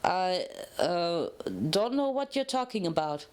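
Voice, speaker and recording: A woman's voice: on the low side, expressive, takes it slowly, darkish tone, smooth. A woman voicing a curt, guarded, grieving rebel mare in prison for a story reading. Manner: curt, reluctant. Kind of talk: dramatic fan fiction reading.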